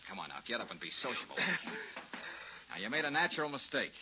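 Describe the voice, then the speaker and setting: An actor playing a cocky, wisecracking private detective in a 1940s radio drama, slangy and teasing. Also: male voice, medium pitch, sing-song, fast. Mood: needling, tough. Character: brash, wisecracking male private detective, needling and confident. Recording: dull, hissy 1940s radio recording.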